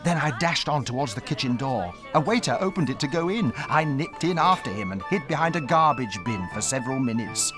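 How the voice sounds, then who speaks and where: A man's voice: medium pitch, expressive, brisk, few pauses, over music, close to an everyday voice. A man as narrator of a dramatized storybook, vividly recalling a boyhood adventure as a mouse. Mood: storytelling.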